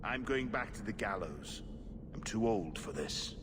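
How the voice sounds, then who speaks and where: Weary low-voiced aging templar. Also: male voice, expressive, unhurried, noisy background. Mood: weary, resigned. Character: weary aging templar warrior, earnest investigator. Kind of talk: video game dialogue acting. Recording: reverberant hall with music behind.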